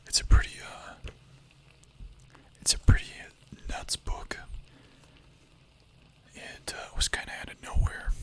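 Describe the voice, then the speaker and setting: A young adult streamer whispering ASMR-style, chatting casually with chat, full of filler words and the odd swear. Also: bright, forceful tone.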